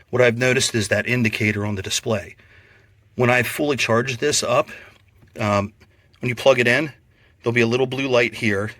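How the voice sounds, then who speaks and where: A man's voice: on the low side, a bit bright, no echo. Casual man reviewing a product, dry close sound.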